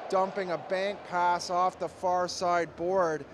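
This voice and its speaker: A man with a cold, hoarse and losing his voice, calling ice hockey play-by-play in a steady, measured way from an arena. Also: sits high, background noise. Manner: steady, measured call that climbs to shouting on goals. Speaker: play-by-play commentator.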